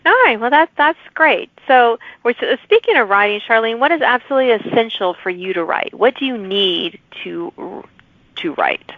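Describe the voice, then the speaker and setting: A woman's voice: a little high, lively intonation, normal speed. A woman hosting a podcast, interviewing a guest with friendly questions and frequent encouraging affirmations. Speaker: podcast host. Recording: dry, phone-like remote line.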